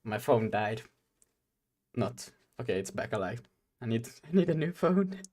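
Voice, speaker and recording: Young guy rambling to his stream chat, laughs a lot, mid-pitched voice. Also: very animated, measured pace, darkish tone. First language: Swedish. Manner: giggly, rambling, talks to chat. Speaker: game dev streamer. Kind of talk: casual live-stream chat.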